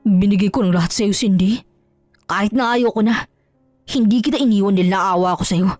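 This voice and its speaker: A woman's voice: medium pitch, brisk, a little mellow, dry recording, soft background music, natural voice. A woman voicing a betrayed husband who is bitter, angry and scornful, in melodramatic audio drama style. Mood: bitter accusing. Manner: accusing, scornful.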